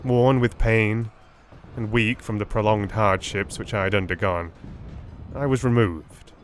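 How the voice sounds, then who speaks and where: A man playing a weary Victorian army surgeon recounting his memoirs in a measured, formal, theatrical audiobook reading. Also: lowish voice, slight background noise, natural voice. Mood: measured, grave. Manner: measured, formal, literary delivery. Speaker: audiobook narrator.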